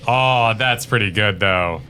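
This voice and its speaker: Low-voiced man with dry quips. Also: takes it slowly, bright, forceful tone. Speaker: co-host of a video game reaction show. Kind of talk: casual banter and commentary.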